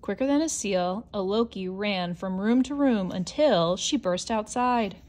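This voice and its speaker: A woman's voice: mid-range voice, animated, unhurried, a little mellow. A woman reading a children's picture book aloud as a scripted narration. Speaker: storybook reader.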